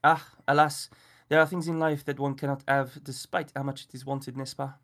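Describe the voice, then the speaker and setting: A man's voice: fairly high pitch, fairly quick, fairly bright tone, breathy edge. A man doing a haughty mock-French detective. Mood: haughty, dismissive. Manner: precise, formal, haughty diction. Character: fussy, aloof male detective with mock French accent.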